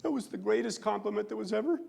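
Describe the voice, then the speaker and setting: High-pitched man giving a theatrical comic speech. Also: creaky, darkish tone, a little hiss or hum. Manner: theatrical, mock-serious boasting with dramatic pauses. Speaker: speech contest competitor telling a comic tall tale. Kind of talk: humorous storytelling speech to an audience. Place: live on stage before a laughing audience.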